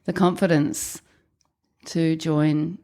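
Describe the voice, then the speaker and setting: New woman hosting a podcast, lowish voice. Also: level tone, slow pace, dark tone. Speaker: podcast host. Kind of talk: scripted intro then casual interview chat.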